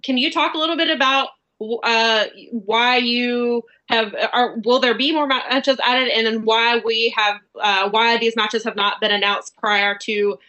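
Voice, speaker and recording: A woman reporter with a fairly high, very bright voice asks a question on a conference call. She is halting and unscripted, restarting and correcting herself as she goes. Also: steady tone, rough recording. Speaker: wrestling reporter.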